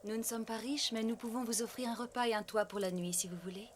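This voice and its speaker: A woman playing a humble, gentle, welcoming slave wife in a French cartoon dub. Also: a little high, fast talker, background noise, natural voice.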